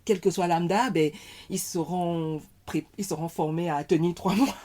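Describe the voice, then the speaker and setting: Woman guest, low and warm, halting and thoughtful. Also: fairly even intonation. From Cameroon. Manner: thoughtful, halting, searches for words. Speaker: podcast guest. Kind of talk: casual conversation.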